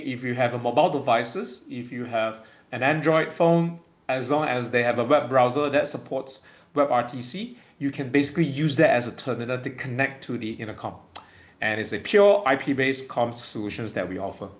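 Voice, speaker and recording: A man presents a technical product webinar in a medium-pitched voice. He talks informally through slides as a company presenter. His delivery is halting and peppered with little check-in words.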